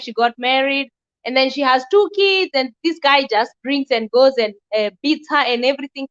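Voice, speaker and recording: A woman's voice: sits high, fairly bright tone, lo-fi sound. A young woman speaking bluntly and emphatically as a guest in a casual discussion.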